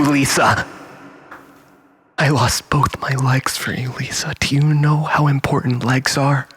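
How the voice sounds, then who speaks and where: A man's voice: medium pitch, a bit bright, breathy edge, big-room sound, heavily acted. A man playing a desperate, manipulative, self-pitying lover in a dramatic monologue, stammering and repeating himself as he swings between pleading and bitterness. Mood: wounded, spiteful.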